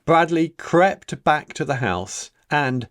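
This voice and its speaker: A man reading a children's picture book aloud as its author, in a dramatic, deliberate storytelling manner with emphatic pauses. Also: a little high, animated, a bit bright, no room sound, studio quality. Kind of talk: expressive children's story narration.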